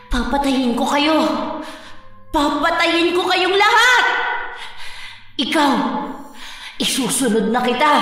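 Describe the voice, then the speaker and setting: A woman's voice: on the high side, darkish tone, heavy reverb, distant mic. A woman playing a vengeful, unhinged young ghost in a horror audio drama, threatening and menacing.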